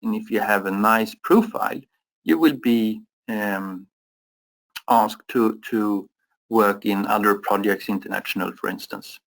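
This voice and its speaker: A man's voice: lowish voice, poor audio. Hesitant European man answering questions. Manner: halting, many fillers and restarts. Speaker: product expert. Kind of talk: webinar Q&A answers.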